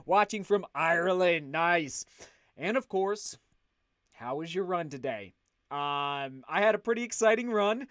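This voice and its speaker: A man hosting a casual livestream, chatting upbeat and loud while reading out viewer comments. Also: high-pitched, expressive, a little slow, dry recording, clean studio sound. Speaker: livestream host.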